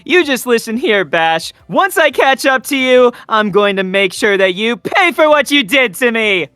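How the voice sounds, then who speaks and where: A man's voice: very high-pitched, sing-song, moderate pace, bright, forceful tone, clear, resonant tone, no echo, soft background music, pitched above the performer's own voice, full character voice. A man voicing a cocky, heroic young speedster from a platform game, with a brash, declamatory delivery. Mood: defiant, angry.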